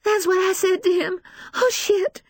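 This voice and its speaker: Audiobook role: distraught mother, extremely high voice, halting, breathless and weepy, close dry recording. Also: lively intonation, no room sound. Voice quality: tearful, wailing. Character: distraught, frightened, defensive grieving mother. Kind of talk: dramatised audiobook dialogue.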